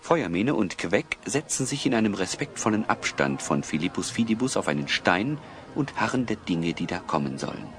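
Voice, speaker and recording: A man narrating a German children's audio play as a storyteller, in measured, scripted sentences. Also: low voice, very animated. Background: music.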